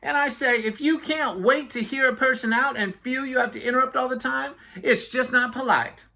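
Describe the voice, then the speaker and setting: Storybook yak cabbie, put on by a reader: raised pitch, indignant, oblivious motormouth full of self-importance. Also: moderate pace, vocal fry, pushed and bright, heavily acted. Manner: rambling, indignant, never lets others speak. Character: chatty, self-important, oblivious male yak taxi driver.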